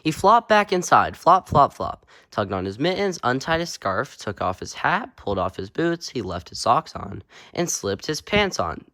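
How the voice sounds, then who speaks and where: A man's voice: medium pitch, very animated, moderate pace, sharp tone, no room sound, clean studio sound. A man reading a children's picture book aloud with playful, sing-song emphasis and punchy sound-effect words. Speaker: read-aloud storyteller.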